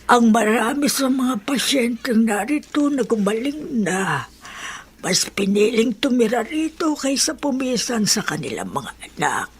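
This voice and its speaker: An actress playing a resigned, motherly older woman in a radio drama, soft-spoken and halting, with a Filipino accent. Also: fairly high pitch, a little hiss or hum. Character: older woman, healed leprosy patient, motherly, resigned, living alone. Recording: music under the voice.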